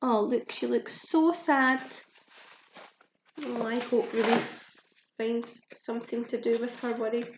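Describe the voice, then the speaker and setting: A woman's voice: a little high, slow, long pauses, slight background noise. A woman reading a children's storybook aloud like a teacher, expressively and simply, with questions and asides to her young listeners. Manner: expressive, simple child-directed speech with audible gasps and questions. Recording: echoey room sound.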